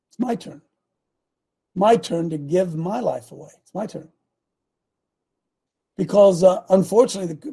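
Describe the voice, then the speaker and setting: An older man in his sixties preaches with fervent, repetitive drive, climbing toward shouts. His voice sits high but sounds mellow and dark. It carries a gravelly, husky edge. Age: 60s. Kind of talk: sermon.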